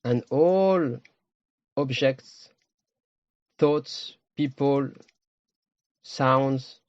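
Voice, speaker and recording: Low-voiced man guiding a meditation. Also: darkish tone, dry recording, muffled recording. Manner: slow, pausing, short phrases. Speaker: meditation teacher. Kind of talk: guided meditation.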